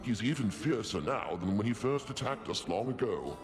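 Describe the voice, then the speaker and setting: Guy playing pompous old god king in a video game, real lively pitch swings, formal talk, music under it. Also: normal speed, natural voice. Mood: grand, proud. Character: regal king of the gods, older, grandly confident. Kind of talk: scripted game acting.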